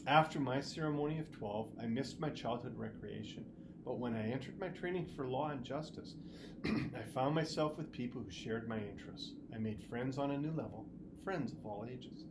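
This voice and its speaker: A storybook reader playing a caring, practical mother who gives measured, earnest advice to her child. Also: mid-range voice, background noise, close to an everyday voice. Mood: reflective.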